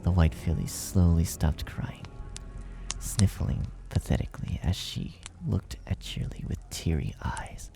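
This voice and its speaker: A man reading a dark fan fiction story aloud as scripted, dramatic narration. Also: deep voice, expressive, measured pace, gravelly. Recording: music behind the narration. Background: music.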